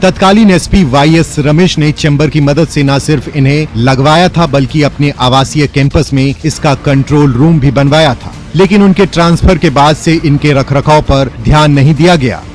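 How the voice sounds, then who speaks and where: A man's voice: a little high, normal speed, few pauses, darkish tone. A man reading scripted regional news stories as a voice-over presenter.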